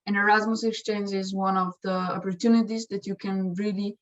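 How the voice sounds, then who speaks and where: Young woman with hesitant, halting speech. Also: medium pitch, little pitch movement, dull audio. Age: young adult. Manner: hesitant, many restarts and fillers. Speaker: exchange student. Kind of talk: casual spoken testimonial.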